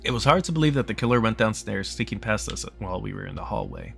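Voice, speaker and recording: A man with a fairly low voice reads a visual novel aloud. His narration is dramatic, loosening into casual asides, and faint game music plays behind him. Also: wildly swooping pitch, fast, vocal fry, fairly bright tone. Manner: acts out character dialogue.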